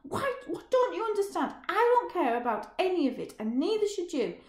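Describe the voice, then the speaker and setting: Bitter, shouting young factory hand, read by a woman, higher-pitched voice, roomy sound. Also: animated, normal speed, close to an everyday voice. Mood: furious. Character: angry young male factory worker. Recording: roomy echo.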